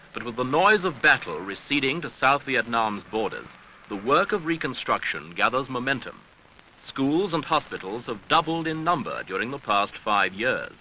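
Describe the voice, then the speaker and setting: A man reading a scripted documentary newsreel narration in a measured, grave, rhetorical manner, on an archival seventies soundtrack. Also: medium pitch, sharp tone, a little hiss or hum, rough recording.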